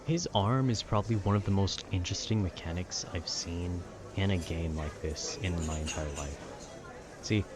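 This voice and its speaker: A man casually rambling about a video game with nerdy enthusiasm, often halting to search for words. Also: deep voice, background noise. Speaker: voice actor making a rambling ambience video.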